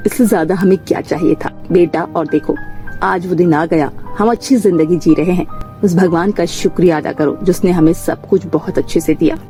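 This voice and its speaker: A woman's voice: on the low side, mellow, lightly acted. A woman voicing a humble, grateful, hardworking mother in a cartoon story, earnest and modest. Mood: grateful, devout.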